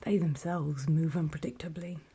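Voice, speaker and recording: A woman acts out a battle-worn, watchful female survivor in an ASMR horror roleplay. Her voice is an extremely breathy whisper, low and soft-edged. She tells her account deliberately, with halting pauses, as a hushed warning. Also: fairly even intonation, full character voice. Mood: wary, instructive.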